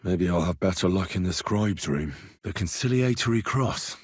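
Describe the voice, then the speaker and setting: A young medieval video game hero, with a slightly husky voice recorded close and dry. He is earnest and wry, mutters his thoughts to himself and curses readily, with pitch that moves widely. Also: animated, normal speed, no echo. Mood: musing, curious. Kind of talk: acted video game voice lines.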